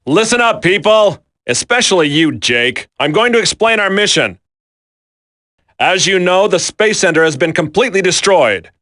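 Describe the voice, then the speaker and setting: No-nonsense commando leader, game dialogue, higher-than-average pitch, authoritative, emphatic, dry sound. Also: male voice, expressive, moderate pace, pushed and bright, no echo. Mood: stern. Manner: authoritative, clipped, emphatic briefing. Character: stern, no-nonsense male special forces team leader. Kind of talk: video game voice acting.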